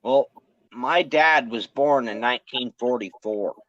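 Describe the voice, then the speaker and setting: A man's voice: mid-range voice, unhurried, a bit bright, no room sound, lo-fi sound. A man in his forties chatting casually and laughing, often restarting his sentences. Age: 40s. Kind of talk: casual chat.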